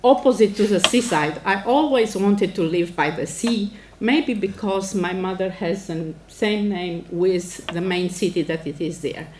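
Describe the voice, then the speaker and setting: Romanian-accented woman lecturing, clear background noise. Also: mid-range voice, unhurried, hardly any pauses, darkish tone. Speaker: professor giving a lecture. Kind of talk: formal academic public address. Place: indoor lecture event before an audience.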